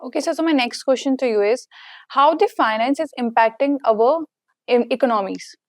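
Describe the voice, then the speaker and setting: A woman's voice: sits high, moderate pace, no echo, clean studio sound. A woman asking interview questions, with a hesitant manner and some repeated words.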